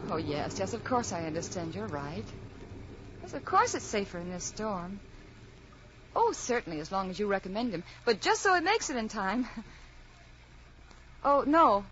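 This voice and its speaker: An actress playing an earnest, emotional wife in a melodramatic radio drama. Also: female voice, medium pitch, big pitch swings, moderate pace, long pauses, a bit bright, muffled recording, slight background noise. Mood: worried, reassuring. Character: anxious, loving pregnant wife, later sad and reflective narrator. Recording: vintage radio drama with music behind.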